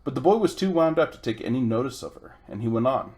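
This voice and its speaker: A man's voice: mid-range voice, animated, fast, a little mellow, husky. A man reading a children's storybook aloud as its narrator. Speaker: reader.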